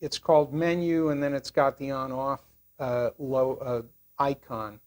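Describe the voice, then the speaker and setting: An older man giving a casual, unscripted product demonstration, talking in a halting, rambling, matter-of-fact way. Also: medium pitch, fairly even intonation. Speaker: product reviewer.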